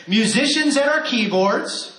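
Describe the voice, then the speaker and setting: A man's voice: extremely high pitch, lively intonation, a little slow, fairly bright tone. A man hosting a live radio theater show, joking with the audience and coaching them through cue-card practice. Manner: playful, joking, coaxing the crowd. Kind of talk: warm-up talk to a live audience. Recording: echoey hall with a crowd. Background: audience noise and applause.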